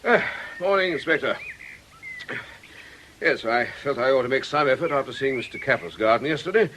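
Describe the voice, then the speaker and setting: Actor as a mild british vicar, downcast and polite, mid pitch, clear noise behind him. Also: male voice, lively intonation, brisk, a bit bright, lightly acted. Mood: subdued, polite. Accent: British English. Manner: polite, hesitant, understated. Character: mild, downcast, hesitant male country vicar. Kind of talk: British radio drama acting.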